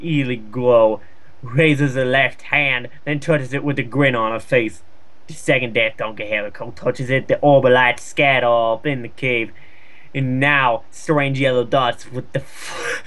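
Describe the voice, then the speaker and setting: A man's voice: medium pitch, fairly bright tone. A man casually reading slang-laden fan fiction aloud for comedy, with sarcastic asides.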